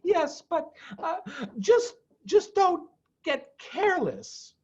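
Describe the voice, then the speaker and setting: A man voicing a gentle, anxious vixen wife in a storybook, sweet and fretful. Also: very high voice, big pitch swings, takes it slowly, dull audio, pitched above the performer's own voice, exaggerated voice. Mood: worried, pleading.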